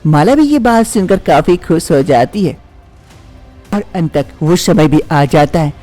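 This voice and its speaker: A man's voice: a little high, expressive, measured pace, dark tone, soft background music. A man reading scripted narration as the storyteller of a moral tale.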